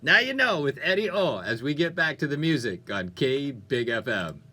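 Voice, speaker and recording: A man's voice: medium pitch, big pitch swings, moderate pace, bright, forceful tone, breathy edge. A man hosting a music radio show with upbeat, playful, conversational DJ patter. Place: radio studio.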